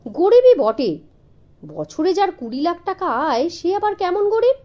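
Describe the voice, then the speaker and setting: A woman's voice: extremely high pitch, big pitch swings, unhurried, dark tone, pitched above the performer's own voice, full character voice. A woman voicing a grand, boastful rich man in a children's story, grave and pompous in manner. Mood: haughty boasting.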